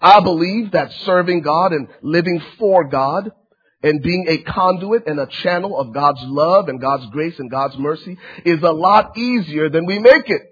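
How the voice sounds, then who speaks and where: Guest preacher, man from Liberia, fervent and repetitive, husky slightly high voice, lo-fi church audio. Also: expressive, a little slow. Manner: fervent preaching with repeated phrases and many fillers. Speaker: church-planting pastor, guest speaker. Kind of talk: sermon.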